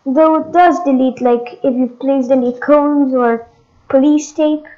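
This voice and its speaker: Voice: high voice, steady tone, unhurried, a little mellow. A gaming host giving a casual walkthrough of game updates, hesitant and thinking aloud, on a dull lo-fi microphone.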